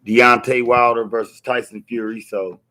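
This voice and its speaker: A man with a hoarse voice from yelling, ranting bluntly to camera about a boxing match and swearing freely. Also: mid-range voice, little pitch movement, moderate pace. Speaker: vlogger reacting to a boxing match. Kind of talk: casual rant to camera.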